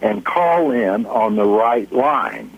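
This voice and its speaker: A man's voice: medium pitch, very animated, slow pace, gravelly, breathy edge. A man with an American accent airing a complaint as a caller on a call-in show, over a muffled broadcast phone call.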